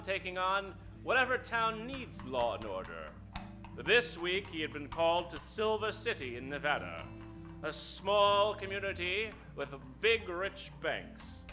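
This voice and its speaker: A man's voice: sits high, bright, forceful tone. A man hosting and narrating a live comedy in the showy, theatrical style of a 1940s radio announcer. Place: live stage before an audience.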